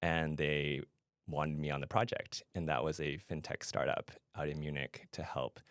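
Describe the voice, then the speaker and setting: A man's voice: very deep voice, flat delivery, moderate pace, no echo, studio quality. A middle-aged man from Canada speaking conversationally as a guest in an interview. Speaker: podcast guest, fintech product adviser and founder.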